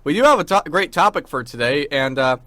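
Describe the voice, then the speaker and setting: A young man hosting a college radio show, chatting casually and slangily, with animated storytelling. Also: a little high, a little fast, no room sound. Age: young adult. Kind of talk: casual chat.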